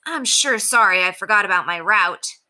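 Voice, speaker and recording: A woman voicing an eager, anxious young schoolboy in a children's storybook, excitable and pleading. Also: mid-range voice, normal speed. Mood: apologetic, agreeable.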